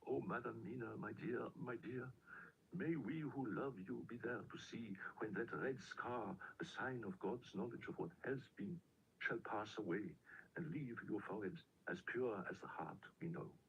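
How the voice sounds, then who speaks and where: A man voicing a solemn, fatherly older professor in an audiobook, devout, measured and tender. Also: on the low side, voice pushed down. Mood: solemn tenderness. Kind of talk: audiobook character voice. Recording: dull lo-fi, clearly noisy audio.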